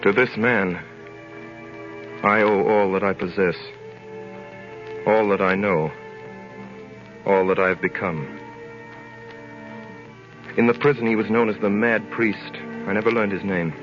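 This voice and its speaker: A man's voice: lowish voice, fairly even intonation, close to an everyday voice. Man acting an earnest prisoner, muffled 1930s radio. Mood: solemn, reflective. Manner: grave, dramatic, deliberate pauses. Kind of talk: 1930s radio drama acting, first-person narration. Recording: muffled vintage 1930s radio recording with music.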